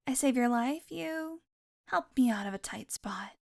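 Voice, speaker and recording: A woman's voice: high voice, animated, a little slow, vocal fry, darkish tone, heavily acted. A woman playing a haughty, sardonic female vampire on the run in an audio roleplay, teasing and exasperated as she talks straight to the listener. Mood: matter-of-fact, wry. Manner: sarcastic, teasing, exasperated sighs.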